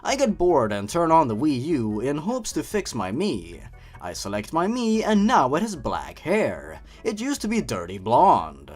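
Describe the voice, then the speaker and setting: Sarcastic man reading aloud with swooping pitch. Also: wildly swooping pitch, a little slow, a little mellow. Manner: sarcastic, mocking. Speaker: host reading and commenting on online horror stories. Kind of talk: story reading with sarcastic asides.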